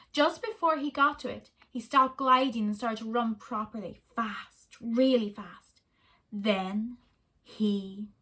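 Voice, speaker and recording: A woman with an Irish accent, a volunteer reader, reading a children's story aloud in a steady, expressive way. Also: a little high.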